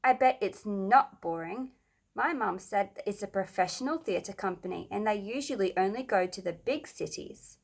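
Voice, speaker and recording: A woman voicing an excitable, bossy schoolgirl in a children's storybook, emphatic and dramatic. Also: mid-range voice, moderate pace, gravelly. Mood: eager.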